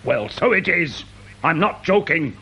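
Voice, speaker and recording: Blustering older British councillor, very expressive. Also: male voice, on the high side, measured pace, gravelly, breathy edge, exaggerated voice. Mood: indignant. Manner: indignant, pompous, insinuating. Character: fussy, blustering older male town council chairman. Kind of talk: radio drama acting.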